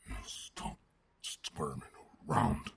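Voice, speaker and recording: A man voicing a menacing, coldly controlled alpha werewolf in an ASMR roleplay, gruff and growling, speaking formally and deliberately in a half-whisper. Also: noisy background, natural voice. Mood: hungry, menacing. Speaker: voice actor.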